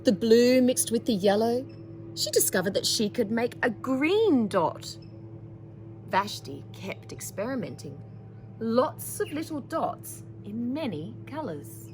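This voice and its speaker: A very animated woman reads a picture book to children, taking her time over the words. Her delivery is theatrical, with dramatic emphasis and chatty asides to the young listeners. There is faint noise behind her voice. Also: a little high, slow pace. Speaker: children's storytime presenter.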